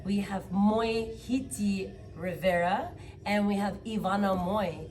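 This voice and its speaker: Group leader, woman, sincere introduction, distant mic with soft music underneath. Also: mid-range voice, fairly even intonation, takes it slowly. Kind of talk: spoken introduction to a performance.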